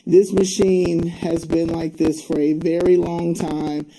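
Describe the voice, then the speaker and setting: Earnest mellow-voiced woman from Albany, New York. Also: on the low side, level tone, slow pace, slight background noise, low-quality recording. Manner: earnest, emphatic. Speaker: interview guest, community advocate. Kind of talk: interview.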